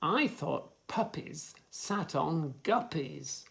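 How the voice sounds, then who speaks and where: Man putting on a demanding, creaky storybook cat. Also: a little high, animated, extremely slow, fairly bright tone, full character voice. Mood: critical. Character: grumpy, critical, frowning cat.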